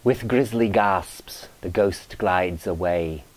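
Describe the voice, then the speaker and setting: A man narrating a medieval romance in verse, with a measured, solemn and dramatic delivery. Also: deep voice. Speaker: narrator, reader of his own verse paraphrase. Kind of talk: dramatic poetry reading.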